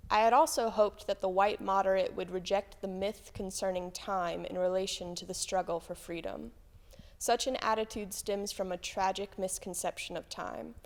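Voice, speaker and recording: A woman's voice: mid-range voice, a little mellow. A woman, a church member, reading quotations aloud in a solemn, scripted way. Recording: long hall reverb.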